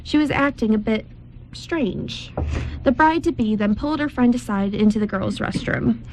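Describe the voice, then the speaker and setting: A woman's voice: fairly high pitch, normal speed, darkish tone, slight background noise, rough recording. A woman reading scripted story narration aloud as narrator, occasionally stumbling over the words.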